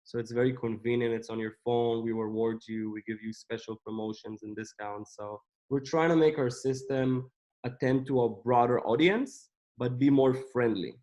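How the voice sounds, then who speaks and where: A man, a company co-founder, explaining his business in a relaxed, casual interview. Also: medium pitch, moderate pace, poor audio.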